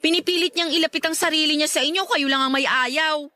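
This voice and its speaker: A high, dry young voice: a grown daughter in a radio drama. She is respectful but stubborn, pleading earnestly and defensively. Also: fairly even intonation, quick pace, sharp tone, no echo. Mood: pleading, defiant. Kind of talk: Filipino radio drama acting.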